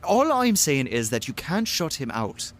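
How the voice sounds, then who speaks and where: Casting: actor as worried, wry male best friend, highish voice with huge melodic swings, radio drama. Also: sing-song, measured pace. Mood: earnest, reproachful. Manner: earnest, wry, scolding. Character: fretful, caring male friend, exasperated yet gentle. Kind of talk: audio drama acting.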